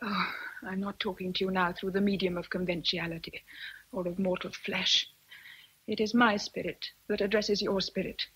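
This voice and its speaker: An actress playing a proud, passionate young British governess, earnest and intense, in a period radio drama. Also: female voice, medium pitch, breathy edge, rough recording.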